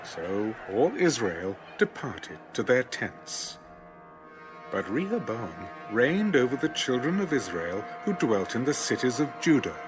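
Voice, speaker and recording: Expressive male Bible narrator over music. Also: mid-range voice, unhurried. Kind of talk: scripted dramatic Bible narration. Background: background music.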